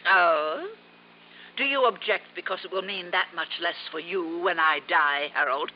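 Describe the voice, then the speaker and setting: A woman's voice: fairly low pitch, a little slow, lightly acted. A woman playing an imperious, possessive older aunt in a 1950s radio drama, haughty and theatrical in manner. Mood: haughty, cutting. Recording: dull, noisy vintage radio recording.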